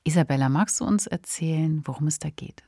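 Mellow, low-voiced female podcast host. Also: fairly quick, no echo, clean studio sound. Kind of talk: friendly scripted podcast introduction.

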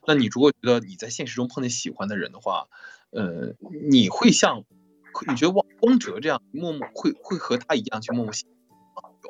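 A man's voice: mid-range voice, big pitch swings, brisk, slightly rough, dull audio. A man hosting a casual question-and-answer chat over an online voice call, often repeating and rephrasing his questions, with music behind him. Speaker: host of a cast chat about an audio drama.

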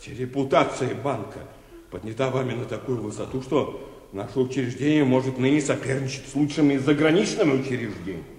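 An actor playing a ceremonious bank delegate in a comic radio play, delivering a solemn, florid oration. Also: male voice, mid-range voice, brisk, a little mellow, close to an everyday voice. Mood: solemn, pompous. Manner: solemn, oratorical. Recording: echoey hall, long reverb.

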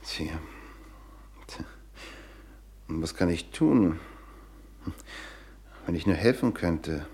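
A courteous, ill-at-ease male visitor in a radio drama. His voice is low, and his is hesitant and apologetic. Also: expressive, takes it slowly, pauses often, a little mellow, heavily acted. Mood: awkward, apologetic. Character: awkward, overly polite male visitor excusing himself. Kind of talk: German radio drama acting.

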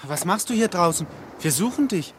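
A man's voice: sits high, animated, normal speed, slight background noise. A male actor playing a worried, loving young son in a classic radio drama. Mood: concerned.

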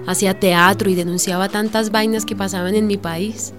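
An actress playing a lively, resilient young Colombian refugee in a radio drama, speaking in an animated, familiar, slangy way with a Colombian accent. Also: female voice, mid-range voice, moderate pace, music in the background, lightly acted. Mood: sorrowful, earnest.

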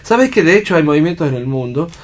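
An Argentine man reflecting aloud as a radio panelist, halting as he thinks and often checking for agreement. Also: on the high side, big pitch swings. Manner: thoughtful, halting, seeks agreement with tag questions. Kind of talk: reflective unscripted discussion.

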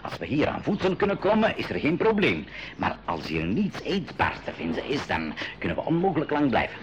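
A man playing a cautious, kindly inventor uncle in a 1980s educational radio play, with expressive delivery. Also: medium pitch, a little fast. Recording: faintly noisy 1980s radio recording.

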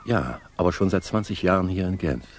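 Deep, husky reserved gentleman. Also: expressive. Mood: polite, calm. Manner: polite, dry, deliberate. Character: courteous, reserved middle-aged English expatriate gentleman. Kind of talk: 1960s German radio drama acting, dialogue and narration.

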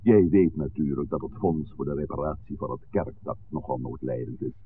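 Stern village priest, very low gravelly voice, admonishing, old radio drama. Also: male voice. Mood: shrewd, firm. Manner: admonishing, measured, formal. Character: stern village priest scolding his parishioners, shrewd and authoritative. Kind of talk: 1960s radio play acting. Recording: tinny, noisy old radio recording.

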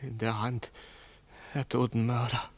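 An actor playing a bitter, fearful ageing crook who mutters to himself in a 1960s German radio play. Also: male voice, medium pitch, flat delivery, a little slow, natural voice. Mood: uneasy, muttering.

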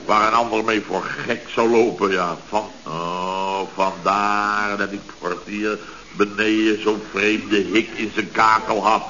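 A man's voice: fairly low pitch, level tone, takes it slowly, bright, forceful tone. A middle-aged company boss from a 1970s radio comedy, full of bluster and easily muddled. He rambles, restarts and repeats himself with great self-importance. The old recording is dull, with a faint hiss behind him. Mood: flustered bluster. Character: blustering, scatterbrained middle-aged male building-firm boss. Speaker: actor in a radio comedy serial.

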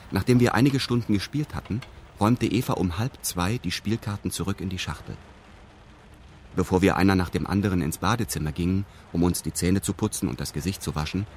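An actor playing a detached male narrator in a radio drama, speaking in a formal, meticulous, deadpan manner. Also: low-pitched, slight background noise. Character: detached male narrator recounting a weekend with pedantic precision. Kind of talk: scripted first-person radio drama narration.

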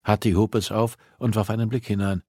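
A man's voice: lowish voice, little pitch movement, fairly quick, dark tone, slightly rough, no room sound, studio quality. A man reading a suspenseful story as an audiobook narrator, in scripted, dramatic narration.

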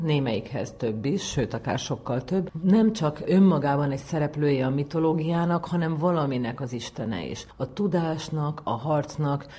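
Low, mellow-voiced woman researcher. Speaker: social psychologist researcher. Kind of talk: explanatory research talk, carefully worded.

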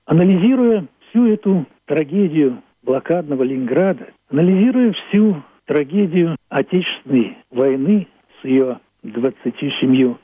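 Husky, animated elderly man on phone-like line. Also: on the high side. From Russia, Leningrad. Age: older, 80s. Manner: deliberate, philosophical, emphatic phrasing with repetitions. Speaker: playwright. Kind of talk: reflective radio monologue about own play and history. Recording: thin phone-like band-limited sound.

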